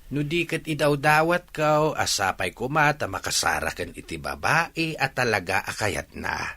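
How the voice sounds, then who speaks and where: An actor playing a stern, hot-tempered older father in a radio drama, scolding loudly. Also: medium pitch, big pitch swings, normal speed, exaggerated voice. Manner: scolding, shouting.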